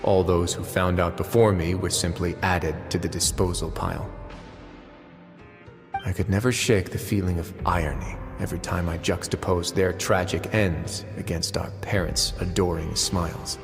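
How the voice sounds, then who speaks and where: An actor playing a calm, composed male prison warden in a game, speaking in a low, measured voice. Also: gravelly, faint music behind. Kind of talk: game voice acting.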